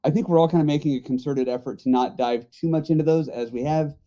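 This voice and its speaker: A man's voice: fairly high pitch, fast, warm tone, highs cut off. A man talking casually as a podcast panelist, with a wry, joking manner. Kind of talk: casual chat.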